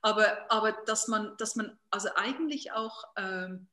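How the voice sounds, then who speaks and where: A woman from Switzerland with a Swiss accent, talking reflectively as an artist in an interview, haltingly searching for words and repeating phrases. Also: medium pitch, bright, forceful tone. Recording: roomy, echoing sound.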